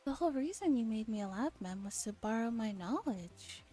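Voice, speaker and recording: A woman voicing a proud, sharp-tongued young woman assistant in a visual novel, curt and argumentative. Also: a little high, lively intonation, fairly quick, faint music behind, lightly acted. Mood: indignant.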